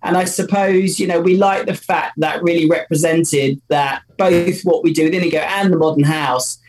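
A man's voice: on the high side, fairly even intonation, normal speed, a little hiss or hum, poor audio. Enthusiastic man, chatty founder. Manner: enthusiastic, loose with many "you know" fillers. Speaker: company co-founder. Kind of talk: conversational interview answers.

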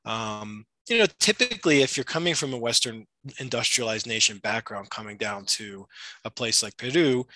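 A man's voice: lowish voice, sharp tone, no echo, rough recording. A middle-aged man from the United States, a shamanic practitioner, answering interview questions in a thoughtful way with many fillers and restarts. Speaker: shamanic practitioner and retreat facilitator. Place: Peru.

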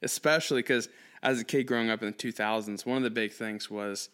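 A man's voice: on the low side, fairly even intonation, a little fast, a bit bright, clean tone. A man from Texas, raised mostly in Eastern Europe, chatting casually and jokingly as a podcast guest. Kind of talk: casual anecdotal chat.

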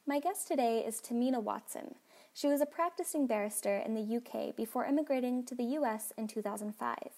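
A woman podcast host reads a long guest introduction in a clear, measured way, then moves into her questions. Her voice sits on the higher side. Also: darkish tone. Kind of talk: read introduction and interview questions.

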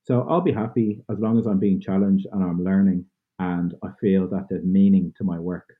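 A man's voice: sits low, fairly even intonation, normal speed, husky. A man from Ireland in his thirties, a podcast guest giving earnest, thoughtful answers with lots of fillers. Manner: earnest, thoughtful, loose phrasing with many fillers. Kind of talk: reflective interview answers. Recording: tinny phone-like line.

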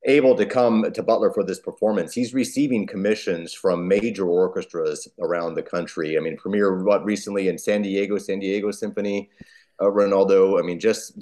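A man with a low-pitched voice speaks in an interview as a musician. He is earnest and effusive, choosing fairly formal phrases in a conversational flow. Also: darkish tone.

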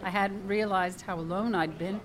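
A woman's voice: medium pitch, fairly even intonation, moderate pace, crowd noise, lightly acted. A woman playing a wry, lonely, self-reproaching young woman in a radio drama. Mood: regretful, pleading. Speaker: lead actor. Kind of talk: radio theatre acting.

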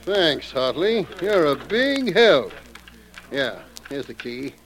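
An actor playing a brisk, wry male theater stage manager in an old radio drama. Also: a little high, big pitch swings, a bit bright, a little hiss or hum, exaggerated voice. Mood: urgent, alarmed. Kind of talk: 1940s radio acting.

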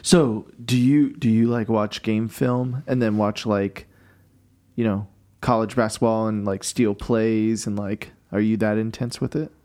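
A man with a booming voice hosting a casual chat, asking questions in a jovial, animated way. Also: a little slow. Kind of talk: casual conversation.

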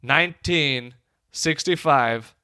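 Guy co-hosting a comedy podcast, bright voice sitting a bit high, teasing and playful, super dry close mic. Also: smooth, no echo. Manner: teasing, playful, quick bursts. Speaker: podcast co-host. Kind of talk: casual comedic banter.

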